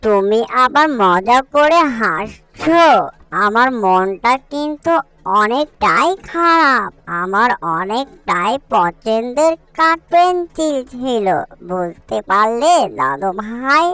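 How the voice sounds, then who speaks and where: Small cartoon child played by a woman: earnest, pleading, high voice, unhurried delivery. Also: expressive, sharp tone, exaggerated voice. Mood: sad. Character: small child, earnest, plaintive, attached to a pencil. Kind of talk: cartoon character acting.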